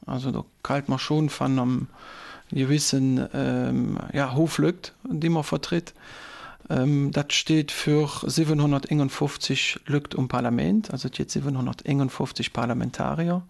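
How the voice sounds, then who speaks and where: A man's voice: mid-range voice, vocal fry, a little mellow. A man in his thirties from German-speaking Belgium, a politician guest on a radio show, speaking an East Belgian German dialect and explaining step by step with many hesitation sounds.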